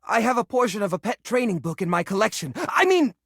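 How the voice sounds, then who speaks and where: A woman voicing an eager, bookish, nervous but brave healer in a video game, speaking in an earnest, excitable way. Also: mid-range voice, quick pace, dry recording, studio quality. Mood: resolute. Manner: earnest, excitable, wholesome exclamations. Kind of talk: video game character acting, tabletop role-play.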